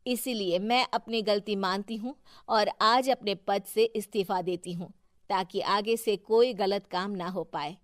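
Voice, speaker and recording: A woman's voice: mid-range voice, fairly even intonation, unhurried, no room sound, studio quality, lightly acted. A woman voicing a sidelined councillor's earnest character, a wife who speaks up with reproach in a cartoon story. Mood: resolute. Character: sidelined councillor wife, earnest, finally standing up to her husband. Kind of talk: scripted cartoon story dialogue.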